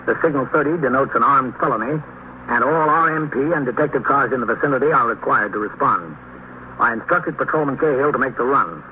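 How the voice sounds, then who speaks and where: An American man acting as a calm police captain in a 1950s radio drama, narrating and questioning in a measured, matter-of-fact, official way. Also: mid-range voice, natural voice. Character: calm, businesslike male police captain in command. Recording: tinny, noisy old radio recording.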